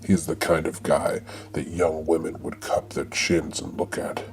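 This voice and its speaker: Very deep, gravelly man narrating. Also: flat delivery, moderate pace. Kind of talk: scripted comic narration of an audio drama.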